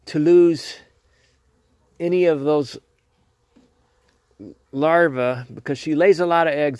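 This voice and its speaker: A man's voice: fairly high pitch, no echo. A man beekeeper talking casually and plainly while showing his hives, recorded on a handheld phone in a garage. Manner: plainspoken, chatty, explains as he works. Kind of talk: casual hands-on demonstration.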